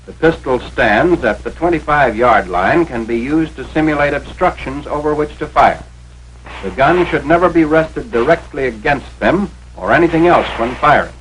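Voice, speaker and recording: An American man with a mid-pitched voice narrates in the manner of a 1940s military training film. His delivery is authoritative and instructional, with clipped, formal phrasing. The vintage soundtrack carries a light layer of noise. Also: a bit bright, poor audio.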